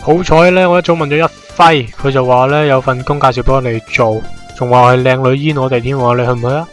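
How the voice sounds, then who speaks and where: A man's voice: medium pitch, steady tone, fast, soft background music, natural voice. A man playing a conceited, jobless young slacker in an amateur radio drama, with a Hong Kong Cantonese accent. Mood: cheeky. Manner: pompous, joking.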